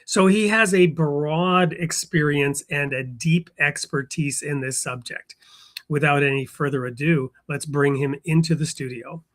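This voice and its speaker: A man's voice: a little high, fairly even intonation, a little slow, fairly bright tone. A man hosting an interview show, introducing his guest and chatting in a friendly way with frequent fillers. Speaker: host.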